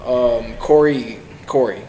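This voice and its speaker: A man's voice: medium pitch, slow pace, a loud crowd, rough recording. A man chatting casually as a podcast co-host, blunt and questioning. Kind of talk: casual chat.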